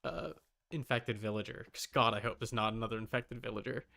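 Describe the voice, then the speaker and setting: A man's voice: on the low side, fairly quick, vocal fry, bright, forceful tone. A man livestreaming a video game, casually commenting and grumbling to himself as he talks to his chat. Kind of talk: casual gaming stream commentary, talking to chat. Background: music.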